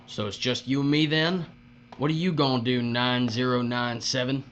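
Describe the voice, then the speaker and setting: A man's voice: mid-range voice, normal speed, close to an everyday voice. A man playing a cruel, leering bully boss in a dystopian audio drama, with a taunting drawl and folksy, uneducated grammar. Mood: taunting menace. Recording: muffled audio with steady mains hum.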